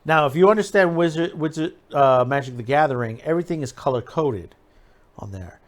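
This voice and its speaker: A man's voice: on the high side, lively intonation, fairly quick. Rambling, emphatic American man giving commentary. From United States. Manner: rambling, emphatic, repeats himself. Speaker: host of an online commentary show. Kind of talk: unscripted opinion commentary.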